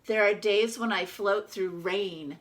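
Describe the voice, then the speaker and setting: A woman reading a children's picture book as a small, timid yellow toy duck, with expressive, dramatic narration. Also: medium pitch, slow, close to an everyday voice. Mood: wistful storytelling.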